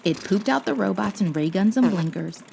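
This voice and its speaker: A woman's voice: medium pitch, animated, moderate pace, gravelly, mellow, slight background noise. A woman reading a children's storybook aloud as host, with dramatic, playful emphasis. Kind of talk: expressive scripted storybook narration.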